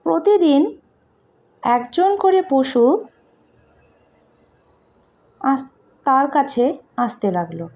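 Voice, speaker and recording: Bengali female storyteller-teacher, very unhurried and deliberate delivery for children, slightly high-pitched. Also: sing-song, a little mellow. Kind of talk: slow read-aloud moral story with translation. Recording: dull lo-fi home recording.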